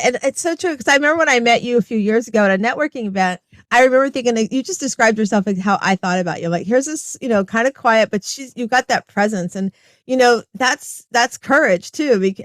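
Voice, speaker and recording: A woman's voice: a little high, a bit bright, no echo. A woman hosting a podcast, asking questions and praising her guest in an enthusiastic, effusive way. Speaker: podcast host. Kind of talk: casual podcast interview. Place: Tampa area.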